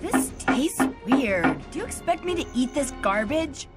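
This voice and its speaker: A spoiled, imperious princess from a fantasy game. Her dismissive lines swoop up and down over loud music. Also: sits high, big pitch swings, a little slow. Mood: disgusted, sulky. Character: haughty, demanding young princess. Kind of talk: video game cutscene acting.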